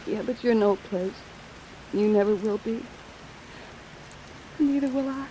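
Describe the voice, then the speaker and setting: A woman's voice: on the high side, measured pace, warm tone, a little hiss or hum, lightly acted, poor audio. An actress playing a guarded, world-weary but tender noir woman in a 1940s radio drama, terse and understated. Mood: tender, resigned. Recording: vintage radio recording with rain sounds.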